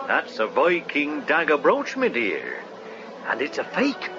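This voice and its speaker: Eccentric radio-play professor: high, very lively pitch, teasing, brusque, old-fashioned. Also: male voice, a little slow. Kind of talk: radio drama acting. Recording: muffled radio recording, noisy ambience.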